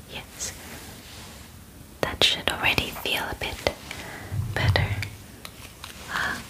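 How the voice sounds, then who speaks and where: A woman in her twenties doing a softly whispered ASMR roleplay as a calm, methodical alien examiner, soothing and attentive, close to the microphone. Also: low-quality recording. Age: 20s. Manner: soft, unhurried, soothing, close personal attention. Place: small indoor bedroom studio.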